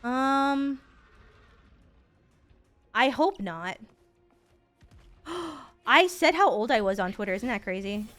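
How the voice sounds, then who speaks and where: A woman in her thirties chats casually as she streams a game. Her voice is on the high side, her talk playful, slangy and sweary, with faint music behind. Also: expressive, takes it slowly, long pauses, fairly bright tone. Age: 30s. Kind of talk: casual gaming stream commentary.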